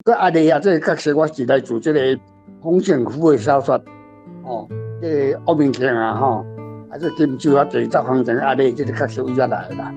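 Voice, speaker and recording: A man's voice: mid-range voice, brisk, over music. An older man with a Taiwanese accent speaks off the cuff as a guest author, rambling in a plain, emphatic way and repeating himself. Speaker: author guest answering questions. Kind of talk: unscripted literary discussion.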